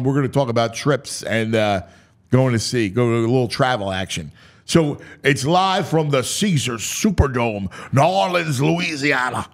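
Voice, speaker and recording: An American man hosting a sports talk show, breaking down a schedule with theatrical, announcer-like proclamations and casual asides. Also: mid-range voice. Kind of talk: dramatic, animated schedule breakdown.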